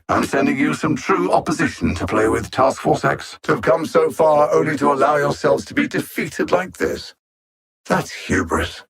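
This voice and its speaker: A man playing an arrogant alien android conqueror in a video game, speaking with deliberate, theatrical condescension and cold intellect in a husky voice. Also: medium pitch, fairly bright tone, heavily acted. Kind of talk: video game villain voice lines.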